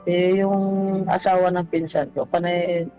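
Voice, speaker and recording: A Filipino man calling in to a radio advice show, speaking haltingly and politely, with deference to the hosts. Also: high-pitched, little pitch movement. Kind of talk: halting personal conversation. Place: calling in by phone. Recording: dull phone-in line, music behind.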